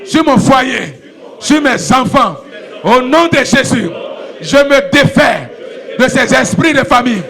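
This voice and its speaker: Pastor from Ivory Coast, very high gravelly voice, slow, chant-like declarations in a church. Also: male voice, fairly bright tone. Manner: repetitive, commanding, chant-like. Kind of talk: shouted prayer declarations. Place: church sanctuary.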